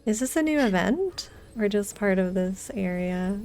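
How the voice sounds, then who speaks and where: A woman's voice: medium pitch, animated, measured pace, a little mellow, a little hiss or hum. A woman giving casual gameplay commentary as a streamer, musing aloud in short reactions. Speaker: game streamer.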